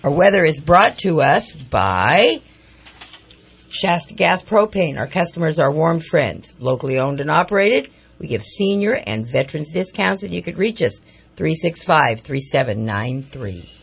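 A woman co-hosts local radio, and hay fever has left her voice raspy and hoarse. Gravelly fry and a husky, breathy edge run through her speech. She makes her points with emphasis. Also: sits low, sing-song, measured pace. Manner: emphatic, civic-minded remarks. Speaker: radio co-host.

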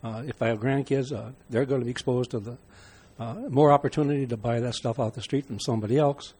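Citizen at a meeting mic, husky man, halting delivery with restarts. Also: mid-range voice, steady tone, warm tone. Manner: halting, many fillers and restarts. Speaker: member of the public. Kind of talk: public comment at a meeting.

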